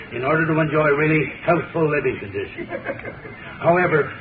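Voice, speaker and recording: Man acting a courteous radio expert, earnest sales talk, slightly high voice, echoey, tinny. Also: close to an everyday voice. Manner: formal, earnest, deliberate. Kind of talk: 1930s scripted radio advertisement. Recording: distant, echoey, tinny, noisy 1930s radio recording.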